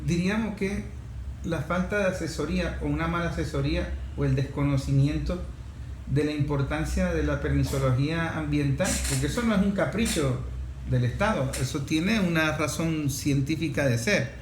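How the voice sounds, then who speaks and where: A man hosts an interview in a fairly high voice. He is emphatic and rhetorical. The room rings with echo and there is faint background noise. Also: normal speed. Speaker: interview host. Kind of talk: interviewer commentary and questions.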